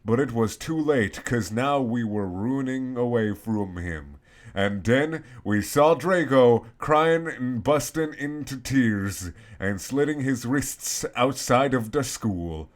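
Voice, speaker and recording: Male reader, very slow pace, medium pitch, halting, mock-serious, comic storytelling. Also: expressive. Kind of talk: comedic dramatic reading of fan fiction.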